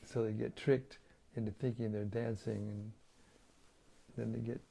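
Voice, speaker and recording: Hoarse man with a gravelly, dark voice. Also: on the low side, unhurried. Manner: relaxed, chatty, with light chuckles. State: hoarse, losing his voice. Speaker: host of a daily scripture reading. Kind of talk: casual talk and Q&A on scripture.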